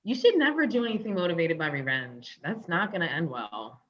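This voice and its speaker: Woman playing lowish, lively, blunt girlfriend. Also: a little fast. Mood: dry, principled. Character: dry, sensible, blunt live-in girlfriend. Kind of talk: comedy script table read. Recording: slightly dull, lo-fi sound.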